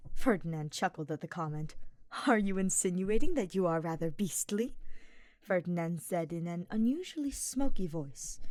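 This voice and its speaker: A woman with a slightly low voice reads scripted fiction aloud. Her storytelling is expressive and deliberate, and the sound is close and dry, with little room in it. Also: no room sound. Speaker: audiobook-style reader of fan fiction.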